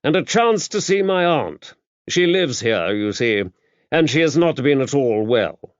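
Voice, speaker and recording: A pompous older English aristocrat, played by a male audiobook reader, pitched up from the narrating voice. His upper-class accent carries a self-important, drawling manner full of old-fashioned phrasing. The sound is a little dull and close, with no room around it. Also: big pitch swings, measured pace, dry recording. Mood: stiff, chatty.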